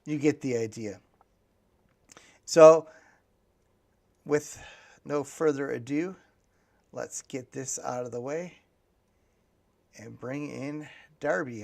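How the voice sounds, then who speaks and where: A man's voice: a little high, slow pace, vocal fry, pauses often, darkish tone. A man hosting a podcast in a casual, rambling, hesitant way, thinking aloud as he goes. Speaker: podcast host.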